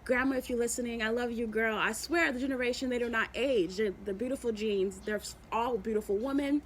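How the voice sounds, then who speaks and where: A woman's voice: a little high, fairly even intonation, fairly quick, a little hiss or hum. A woman vlogging, chatting in a rambling, affectionate way, often repeating herself and restarting. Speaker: YouTube vlogger. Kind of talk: motivational vlog chat.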